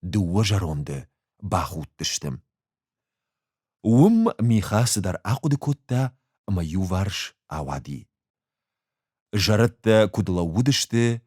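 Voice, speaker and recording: A man with an Ossetian accent reads a story as an audiobook, measured and expressive in his delivery. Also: low voice, husky, no room sound, clean studio sound. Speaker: actor reading a short story. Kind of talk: audiobook narration with acted dialogue.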